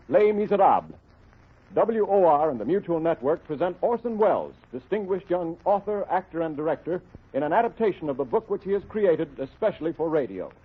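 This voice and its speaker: A man's voice: a little high, slightly rough. A man working as a radio announcer, formally introducing a drama in 1930s broadcast style. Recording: tinny, band-limited vintage radio recording.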